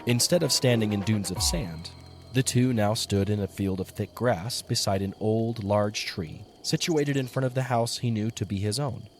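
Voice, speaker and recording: A man's voice: lowish voice, normal speed. A man narrating a dramatic story as a scripted audio drama narrator. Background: music behind the voice.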